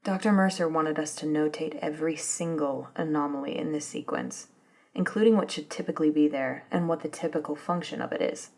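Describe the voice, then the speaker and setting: A woman playing an eager, polite female office and lab assistant in a soft-spoken ASMR roleplay, with a breathy, murmuring, slightly hesitant delivery. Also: deep voice, fairly even intonation, brisk. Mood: curious, casual. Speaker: voice actor in scripted ASMR roleplay.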